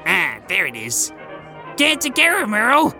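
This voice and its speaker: A woman's voice: medium pitch, wildly swooping pitch, measured pace, gravelly, bright, forceful tone, faint music behind, exaggerated voice. A woman voicing a brash, foul-mouthed metal armadillo who announces acts as a club emcee in a comedic audio story. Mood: brash showmanship. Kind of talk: comedic audio drama acting.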